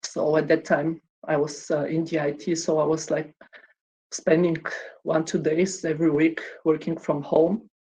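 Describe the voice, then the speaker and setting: A woman gives a conference talk. Her pitch stays flat and level, and she often pauses on "um" and starts sentences over. Her delivery sounds measured and unhurried. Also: breathy edge, low-quality recording. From Bulgaria. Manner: hesitant, many ums and restarts. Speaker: conference speaker.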